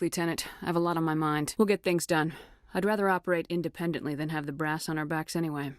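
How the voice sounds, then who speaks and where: A woman's voice: sits low, no room sound, lightly acted. An actress playing a tough, resolute female military officer in a video game, speaking with firm, clipped authority and dry wit. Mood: businesslike, frustrated. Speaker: starship commander in a science fiction game. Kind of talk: video game voice acting, dramatic dialogue.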